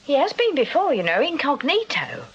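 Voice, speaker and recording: Genteel English Victorian hostess, highish, wildly lilting, coy, gossipy, affected, period radio acting, slightly muffled. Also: female voice, huge pitch swings, normal speed. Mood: confiding, arch. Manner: affected, cooing, arch.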